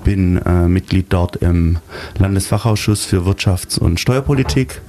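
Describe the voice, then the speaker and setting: A man being interviewed as a guest in a radio studio, explaining things in a calm, precise way. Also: low-pitched, unhurried. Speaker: studio guest, local activist. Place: small padded radio studio.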